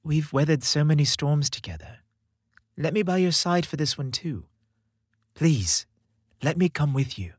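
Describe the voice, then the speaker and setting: Man playing an earnest, husky young boyfriend. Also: on the high side, animated, a little slow, long pauses, dry recording, clean studio sound, pitched above the performer's own voice. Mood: concerned, pleading. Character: devoted, earnest young boyfriend, determined and caring.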